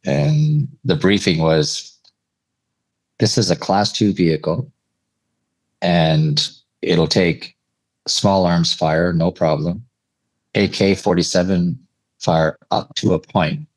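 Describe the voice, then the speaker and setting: A man from Eastern Canada with a notably deep voice talks as an interview guest. He tells stories in a conversational, measured manner. The sound is dry, with very little room around the voice. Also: dry recording, low-quality recording. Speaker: interview guest, air traffic controller telling stories. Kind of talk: conversational anecdotal storytelling.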